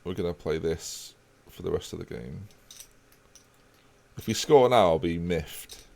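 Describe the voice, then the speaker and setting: A man's voice: low-pitched, measured pace, vocal fry. A man casually chatting with his live-stream viewers while playing a football management game. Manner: relaxed, chatty, talks to chat while playing.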